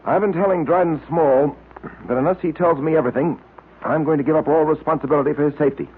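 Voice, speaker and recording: An actor playing a stern, insistent detective with a firm, probing manner in old-time radio drama. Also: male voice, on the high side, fast talker, breathy edge. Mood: concerned, resolute. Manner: firm, interrogating. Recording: tinny, hissy old-time radio recording.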